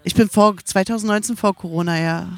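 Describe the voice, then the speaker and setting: A woman's voice: medium pitch, a little fast. A woman in her forties from Berlin with a Berlin German accent, chatting as a podcast guest outdoors by a river and laughing often between hesitant fillers. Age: 40s. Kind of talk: casual outdoor chat. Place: outdoors in shade by a river at a music festival.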